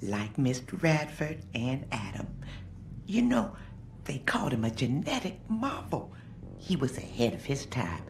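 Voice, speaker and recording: An actress playing a kindly, forgetful elderly former nurse in a video game, breathy, quavering and wandering as she talks. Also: rumbling low, big pitch swings, a little slow, a little mellow, a little hiss or hum, full character voice. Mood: tender. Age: older. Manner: wandering, doddering.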